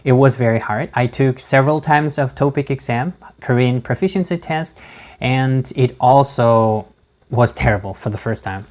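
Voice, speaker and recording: A man's voice: medium pitch, darkish tone. A man speaking English with a non-native accent, recounting his studies in a casual vlog, hesitant with many fillers and restarts. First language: not English. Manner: halting, many fillers and restarts, laughs between words. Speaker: vlogger. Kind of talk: casual talk to camera.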